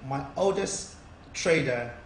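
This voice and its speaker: A man's voice: fairly high pitch, lively intonation, slow. A man speaking as a business coach and mentor, giving earnest, persuasive interview answers and repeating phrases as he explains. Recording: echoey room, distant mic, faint noise.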